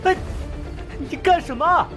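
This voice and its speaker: An actor playing a cold, sharp-tongued forensic genius in an animated drama, disdainful and easily irritated. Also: male voice, very high voice, measured pace. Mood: indignant. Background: music.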